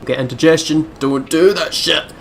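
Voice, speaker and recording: A man's voice: a little high, normal speed. A man hosting a let's play, commenting and reading the story text aloud. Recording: clearly noisy background.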